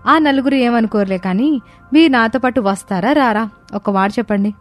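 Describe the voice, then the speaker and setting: Young daughter-in-law female actor, playful and coaxing, expressive cartoon storytelling, light music underneath. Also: fairly high pitch, moderate pace, natural voice. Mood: playful persuading. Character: lively teasing young daughter-in-law, fond of fun. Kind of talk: animated moral-story dialogue.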